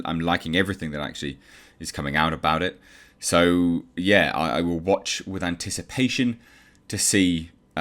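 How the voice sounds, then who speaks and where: A man's voice: low-pitched, fairly bright tone. A man hosting casual movie news commentary, opinionated and blunt, with sardonic asides. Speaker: host of a movie news channel. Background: background music.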